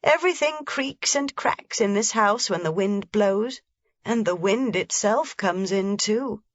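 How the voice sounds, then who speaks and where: A woman voicing a frail, blind old grandmother, soft, gentle and mournful, in a storybook reading. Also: mid-range voice, expressive, a little slow, no echo, pitched above the performer's own voice. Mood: sorrowful. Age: over 60. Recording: slightly dull, dry lo-fi recording.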